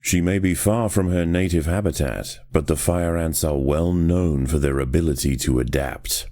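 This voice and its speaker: An actor playing a measured, authoritative nature documentary narrator for a video game. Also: male voice, rumbling low, mellow, dry recording, clean studio sound. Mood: measured gravity.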